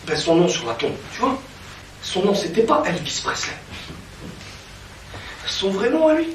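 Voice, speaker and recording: An actor on stage playing an excitable young man who rants loudly and frantically. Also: high-pitched, a little hiss or hum, full character voice. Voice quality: loud, frantic. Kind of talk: stage acting. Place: theater stage. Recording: echoey stage from far off.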